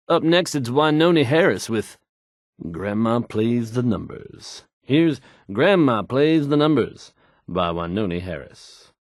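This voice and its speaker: Casting: smooth wasteland radio host, lower register, dry sound, confident wry patter between songs. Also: male voice, no room sound. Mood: cocky, suave. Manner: folksy, wry, addresses listeners as folks. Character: confident smooth-talking male post-apocalyptic radio DJ.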